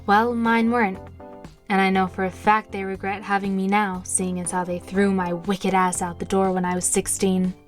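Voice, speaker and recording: An actress playing a confident, tender witch who mentors with soothing, measured words in an audio drama. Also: mid-range voice, level tone, natural voice. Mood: reassuring. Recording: music playing behind. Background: music.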